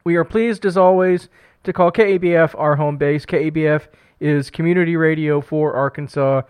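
A man's voice: fairly high pitch, steady tone, moderate pace, darkish tone, clean tone. A man hosting a community radio music show, chatty and upbeat, fighting a cold. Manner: upbeat, chatty, self-deprecating, with fillers and restarts. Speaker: radio host. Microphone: studio broadcast microphone.

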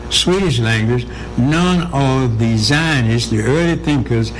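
Halting man with many fillers. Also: medium pitch, rough recording. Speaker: guest. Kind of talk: radio interview.

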